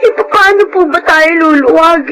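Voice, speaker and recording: A woman's voice: very high voice, normal speed, fairly bright tone, soft background music. An actress playing a poor, hurt young woman in a plaintive, emotional way in a Filipino radio drama. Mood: tearful, wounded.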